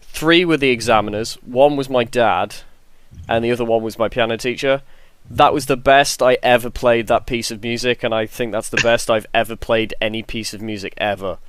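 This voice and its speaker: A man's voice: mid-range voice, fairly even intonation, normal speed, a bit bright, clean tone, dry recording. A man speaking casually and earnestly as a panelist on a podcast, in a reflective way.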